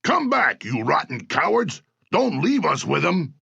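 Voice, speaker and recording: An actor playing a gruff, bossy gangster boss who barks in streetwise comic-book melodrama. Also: male voice, on the high side, sing-song, unhurried, no room sound, highs cut off, full character voice. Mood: panicked, barking. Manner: barking, streetwise. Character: gruff, bossy male crime boss villain. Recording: loud music under the voice.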